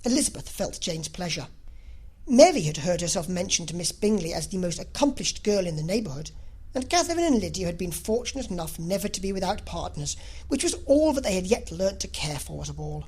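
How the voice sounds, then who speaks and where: A woman reading a literary novel aloud as an audiobook narrator, in an expressive, scripted style. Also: fairly low pitch, a little fast, husky.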